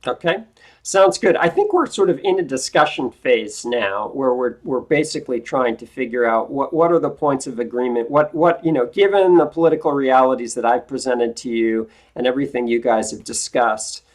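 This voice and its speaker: A woman's voice: rumbling low, moderate pace. Frank woman on a video-call class. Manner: frank, informal, restarts often. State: voice lower than usual. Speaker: instructor playing a congressman's aide. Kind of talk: classroom role-play over a video call.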